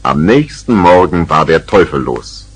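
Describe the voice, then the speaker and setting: A man's voice: rumbling low, sing-song, unhurried, background noise. A man narrating a children's radio play from the 1970s in a scripted storytelling style.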